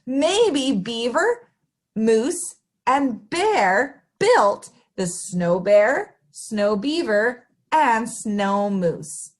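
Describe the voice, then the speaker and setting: A woman's voice: a little high, big pitch swings, extremely slow. A woman hosting story time, reading to young children in an exaggerated, sing-song, interactive way. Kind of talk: animated picture-book read-aloud for young children.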